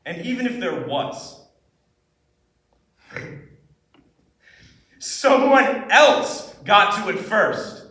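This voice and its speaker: Man acting an intense, high-voiced despairing soul, very echoey. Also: big pitch swings, full character voice. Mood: defeated, bitter. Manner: intense, deliberate, dramatic pauses. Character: despairing, bitter person confessing a hard truth. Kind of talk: dramatic stage monologue. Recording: very echoey room, distant mic.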